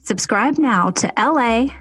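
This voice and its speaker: A woman's voice: fairly high pitch, animated, measured pace, a bit bright, husky, no room sound, faint music behind. A woman podcast host delivering a scripted promo for her show. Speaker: podcast co-host and forensic psychologist.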